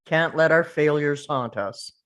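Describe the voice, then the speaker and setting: A woman's voice: sits low, measured pace, fairly bright tone. A woman playing a content, wry male ghost at a script table read. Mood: wry, amused.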